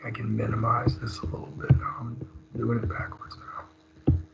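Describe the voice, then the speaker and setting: Hoarse guy in his 30s running a teacher training, gravelly and husky, kinda muffled lo-fi recording. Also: medium pitch, completely flat delivery, moderate pace, over loud music. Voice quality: raspy. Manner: conversational, with many fillers and restarts. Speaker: teacher leading staff training. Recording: dull lo-fi screen recording.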